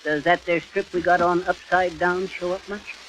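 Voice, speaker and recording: An actor playing a folksy, bungling American husband who makes ungrammatical excuses, in 1930s radio comedy style on a crackly old recording. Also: male voice, high-pitched, level tone, moderate pace, fairly bright tone. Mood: fussy, uncertain. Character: folksy, bungling, defensive husband doing amateur wallpapering.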